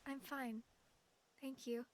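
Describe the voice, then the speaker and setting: A woman playing a gentle, anxious teenage girl in a video game, speaking hesitantly and earnestly. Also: high-pitched, level tone, slow. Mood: subdued. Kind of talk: English video game dub acting. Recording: loud game music behind.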